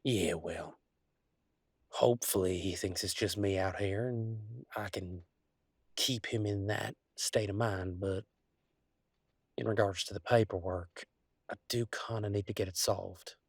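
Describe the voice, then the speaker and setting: Man playing a low-voiced werewolf boyfriend. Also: fairly even intonation, breathy edge, dry recording, clean studio sound. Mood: hesitant, uneasy. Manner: folksy, drawling slang, halting asides. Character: easygoing, affectionate rural working-class werewolf boyfriend. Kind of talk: intimate roleplay audio drama, conversational.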